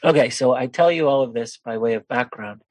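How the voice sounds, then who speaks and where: Animated man from Poland telling stories. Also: mid-range voice, brisk, no room sound, rough recording. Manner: animated storyteller who acts out quoted voices. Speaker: guest. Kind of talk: conversational storytelling.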